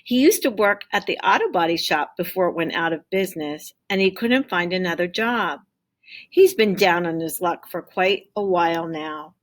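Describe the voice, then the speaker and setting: A woman's voice: fairly low pitch, normal speed, close to an everyday voice. A woman reading the part of a kindly, matter-of-fact mother in a storybook, in a conversational way. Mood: sympathetic.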